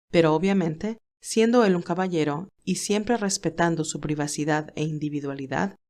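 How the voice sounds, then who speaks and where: A woman's voice: fairly low pitch, a little mellow. A woman narrator reading a scripted romantic story.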